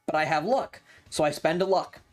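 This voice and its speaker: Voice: mid-range voice, normal speed. A streamer telling a tabletop game story to a live chat in a casual, sweary, emphatic way, repeating phrases for drama.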